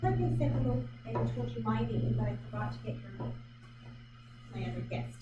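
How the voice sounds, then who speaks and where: A woman talks through a painting demo in a chatty, self-questioning way, often stopping on fillers. Her voice is fairly high. She sounds far from the mic in an echoey gallery with loud noise behind her. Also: brisk, muffled recording. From Vancouver Island, Canada. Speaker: artist leading a painting demonstration. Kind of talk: live painting demonstration, thinking aloud. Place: art gallery.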